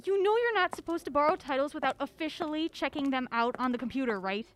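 Woman playing a bossy high-voiced amateur sleuth. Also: expressive, slight background noise. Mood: irritated, bossy. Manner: brisk, curt, commanding. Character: bossy, impatient woman amateur sleuth, assistant store manager. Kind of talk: comedic audio drama acting.

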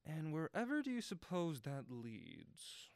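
Man playing a playful, animated mystery partner. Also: on the high side, a little slow, gravelly, a little hiss or hum, full character voice. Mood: giddy, wry. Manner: bantering, punchy. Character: playful, excitable male partner on a mystery hunt. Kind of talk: naturalistic audio drama acting.

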